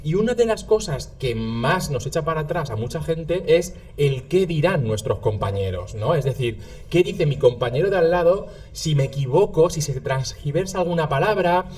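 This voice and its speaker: A man hosting an interview podcast, talking in a quick, conversational way with frequent tag questions. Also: a little high, lively intonation, darkish tone. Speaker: podcast host. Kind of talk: casual interview chat.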